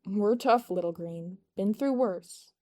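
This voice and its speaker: A woman's voice: medium pitch, slow, dark tone, no echo, lightly acted. A woman voicing a tough, friendly female gang member with a casual, blunt manner in a narrated story. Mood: brash, friendly.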